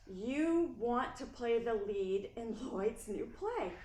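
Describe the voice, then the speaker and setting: A woman playing a composed, well-meaning playwright's wife in a measured, theatrical radio play style. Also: a little high, lively intonation, a little mellow. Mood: patronizing, kind. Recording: roomy echo, faint noise.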